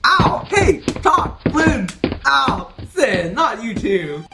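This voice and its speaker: A man's voice: very high-pitched, very animated, extremely slow, creaky, echoey room, loud music behind the voice, exaggerated voice. A man playing a panicky, complaining teenage boy who is kept awake by his friends, in an amateur audio drama. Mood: alarmed. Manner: exclaiming, whiny complaining.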